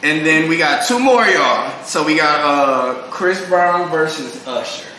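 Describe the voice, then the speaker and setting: A man with a bright, forward voice hosts a casual interview. He talks in a playful, slangy way. The room is very echoey, with faint noise underneath. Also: on the high side, animated, a little slow. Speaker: podcast co-host.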